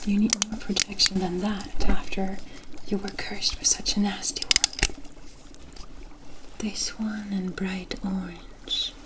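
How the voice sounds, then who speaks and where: A woman voicing a mysterious, wry forest witch in a hushed, deliberate ASMR fantasy roleplay, with cryptic storybook phrasing. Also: medium pitch, little pitch movement, close to an everyday voice, low-quality recording. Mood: knowing, cautioning. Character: mysterious forest witch, wry, knowing, offering protection for favors.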